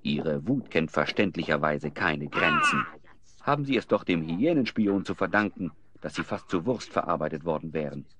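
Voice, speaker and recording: A man's voice: sits low, expressive, moderate pace, a bit bright. A man narrating a children's fantasy audio drama as a scripted storyteller. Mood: dramatic.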